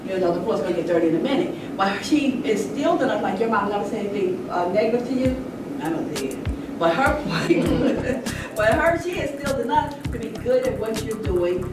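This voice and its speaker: A woman's voice: a little high, expressive, brisk, background noise. A middle-aged woman giving an impromptu, emphatic public talk, telling stories and preaching a little as she leads a discussion. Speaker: panel speaker leading a community discussion. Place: large echoey indoor venue. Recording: very echoey hall, distant mic, loud music.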